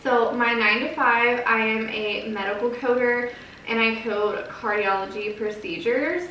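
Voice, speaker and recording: A woman in her thirties talks in a soft, quiet voice with an earnest, gentle manner and a slightly high pitch. She is picked up from a distance in a very echoey room. A faint hiss of noise sits underneath. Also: fairly even intonation, measured pace. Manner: gentle, earnest, chatty with fillers. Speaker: vlogger, mom of three. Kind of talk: casual chat to camera. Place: home.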